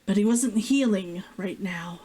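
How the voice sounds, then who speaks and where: A woman reading a novel aloud as its author, in an expressive audiobook-style narration. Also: mid-range voice, unhurried, breathy edge.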